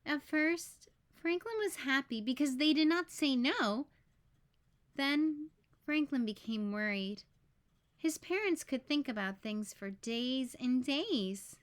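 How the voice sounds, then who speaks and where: A woman narrates a children's picture book in a fairly high voice. She goes very slowly, phrasing each part deliberately so that young listeners can follow. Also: expressive. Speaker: read-aloud storyteller.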